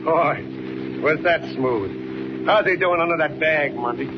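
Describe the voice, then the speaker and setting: A tough, bossy crook in a 1940s radio serial. He gives orders in folksy slang with a lively, fairly high voice, on a very noisy recording. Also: takes it slowly, creaky, lo-fi sound, exaggerated voice. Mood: smug, commanding.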